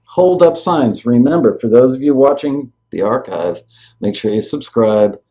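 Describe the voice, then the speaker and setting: A man's voice: mid-range voice, animated, normal speed, dark tone, muffled recording. A man hosting a live web show in a casual, rambling, jokey way. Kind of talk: casual live interview chat.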